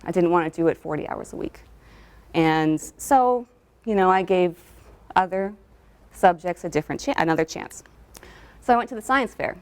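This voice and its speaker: A woman's voice: on the low side, animated, moderate pace, pauses often. Woman giving a friendly slide talk. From New England and Southern California. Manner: friendly, explanatory, with frequent ums. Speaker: guest speaker, cytogenetic technologist.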